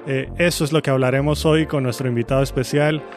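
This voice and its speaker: A man's voice: mid-range voice, steady tone, fast, faint music behind. A man co-hosting a podcast, opening topics and greeting guests in a conversational way. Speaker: podcast co-host.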